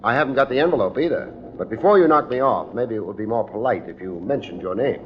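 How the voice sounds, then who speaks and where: An American actor playing a wry, unflappable private detective in a 1950s radio drama, with deadpan, wisecracking delivery. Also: male voice, fairly low pitch, fast talker, slight background noise, natural voice. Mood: cool, wry. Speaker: lead actor and narrator. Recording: muffled 1950s radio recording with music.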